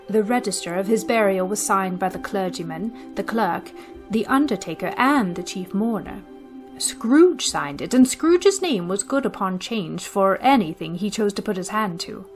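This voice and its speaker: A woman's voice: mid-range voice, animated, moderate pace. A woman giving scripted narration as host and storyteller of a radio theater show. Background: music.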